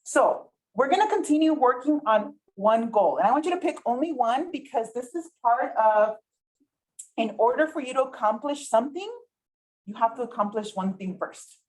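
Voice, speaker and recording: Woman workshop facilitator, first language Spanish, fairly high and very animated voice, keeps checking in with the room. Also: moderate pace, low-quality recording. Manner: chatty, often asks "right?" to check in. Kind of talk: interactive workshop teaching. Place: meeting room, with some people online.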